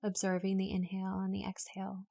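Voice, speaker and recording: A woman reading a guided yoga nidra meditation in a calm, unhurried, instructional way. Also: mid-range voice, gravelly. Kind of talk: guided meditation, read from a script.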